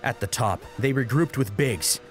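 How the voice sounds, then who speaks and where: A man's voice: mid-range voice, moderate pace. A man reading a dramatic action story as an audiobook narrator. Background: music under the voice.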